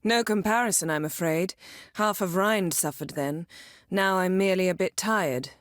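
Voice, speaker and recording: Proud, commanding game sorceress, played by an actress: lowish female voice, sardonic and crisply articulated, recorded close and dry. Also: moderate pace, dry recording, natural voice. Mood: dry, teasing. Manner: imperious, sardonic, clipped and articulate. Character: haughty, sharp-witted adult sorceress, proud and commanding. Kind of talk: video game character voice acting.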